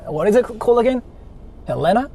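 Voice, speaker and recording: A man's voice: high-pitched, big pitch swings, quick pace, creaky, very noisy. A man streaming live while he drives, talking to his chat and singing along to songs between remarks. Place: in a car.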